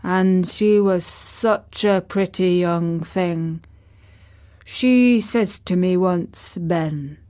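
A woman's voice: lowish voice, very slow, warm tone. A woman voicing a crabbed but tender old gardener with a Yorkshire accent, dry and grumpy, in a storybook reading. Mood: tender, slow. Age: older.

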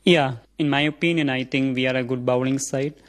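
A man's voice: medium pitch, steady tone, a little fast. A young man from India with an Indian accent, a seminarian answering interview questions in earnest, formal phrasing.

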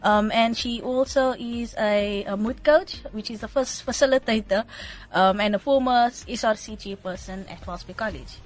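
Woman, radio co-host, raised pitch, hesitant, fillers. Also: normal speed, rough recording. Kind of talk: introducing a guest on radio.

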